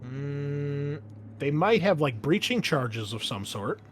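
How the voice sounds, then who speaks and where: A man's voice: a little high, sing-song, takes it slowly, fairly bright tone, a little hiss or hum. A man explaining tabletop game rules casually as game master, thinking aloud as he goes. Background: background music.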